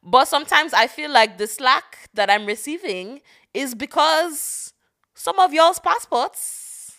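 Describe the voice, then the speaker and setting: A woman's voice: high-pitched, expressive, slow, bright, forceful tone. A woman from Nigeria co-hosting a podcast in casual chat. She teases, uses a lot of slang and laughs often. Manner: playful, teasing, laughs often, slang-heavy. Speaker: podcast co-host.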